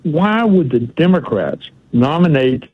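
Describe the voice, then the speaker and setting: A man's voice: a little high, expressive, measured pace. An older man calling in to a radio show, conversational with stammering restarts. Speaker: caller. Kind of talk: phone-in chat. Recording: tinny phone line.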